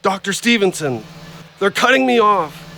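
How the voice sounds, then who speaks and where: An actor playing an agitated, hard-up injured ex-logger who rambles bluntly in an audio play. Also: male voice, high-pitched, expressive, moderate pace, gravelly, sharp tone, full character voice. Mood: insistent, upset. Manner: blunt, rambling, plain-spoken.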